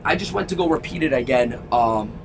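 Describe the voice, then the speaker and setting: A man in his thirties from Toronto, a sales coach telling his story in a casual interview, energetic and emphatic, swearing freely. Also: brisk. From Toronto, Canada. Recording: constant vehicle rumble.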